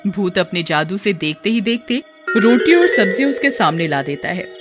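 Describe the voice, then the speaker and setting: A woman's voice: medium pitch, big pitch swings, moderate pace, loud music behind the voice. A woman working as narrator, reading a scripted children's story.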